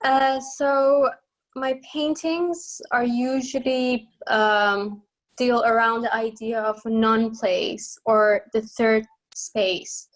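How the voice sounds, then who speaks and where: A woman's voice: fairly high pitch, steady tone, very slow, poor audio. A woman from Iran with an Iranian accent, explaining her work as an interview guest in hesitant, thoughtful phrasing.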